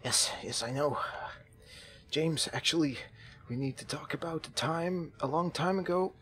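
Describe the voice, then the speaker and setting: A man roleplaying a kindly, babbling zombie apocalypse survivor in an online game, speaking haltingly and earnestly. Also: fairly high pitch, a bit bright, faint music behind. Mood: hesitant, careful. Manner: halting, earnest, folksy, repeats himself.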